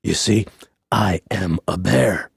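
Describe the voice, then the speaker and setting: A mild storybook bear, acted out by a male narrator, speaking low and a bit gravelly. He is bewildered and plaintive, stubbornly sincere yet always polite, in a close, dry recording. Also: takes it slowly, dry recording, full character voice. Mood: plaintive insistence.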